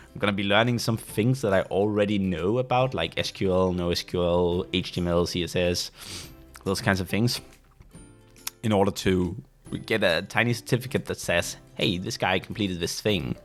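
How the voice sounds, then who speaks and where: A young Danish man with a low voice talks casually on his own podcast. He often stops mid-sentence and restarts while he hunts for the right word. Soft music plays faintly underneath. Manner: pauses often to search for words, many restarts. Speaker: podcast host. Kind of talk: casual solo monologue.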